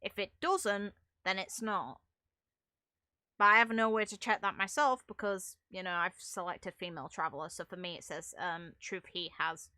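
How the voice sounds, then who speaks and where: Bright-voiced woman streaming a game. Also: fairly low pitch, moderate pace, no room sound, studio quality. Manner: rambling, wry, mildly sweary. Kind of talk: casual live stream chat while playing a video game. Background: game music.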